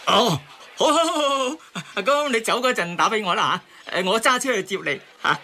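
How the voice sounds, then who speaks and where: An actor playing a blustering henpecked husband in a satirical radio drama, speaking Hong Kong Cantonese. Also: male voice, high voice, expressive, fairly quick, bright, forceful tone, slight background noise, heavily acted. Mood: fawning. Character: blustering henpecked husband, fawning to his wife.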